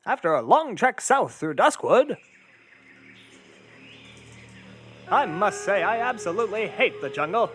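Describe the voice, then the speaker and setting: A man playing a vain, hot-tempered, hard-drinking detective with a loud, pompous, theatrical delivery in an adventure parody. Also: high voice, sing-song, moderate pace, background noise. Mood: haughty. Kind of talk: old radio adventure parody acting.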